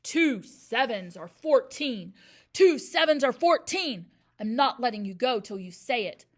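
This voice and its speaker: A woman's voice: fairly high pitch, lively intonation, brisk, lightly acted. A woman voicing a huge bullying headmistress who barks and bellows with sarcasm. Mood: bellowing. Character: huge bullying female headmistress, furious and brutal.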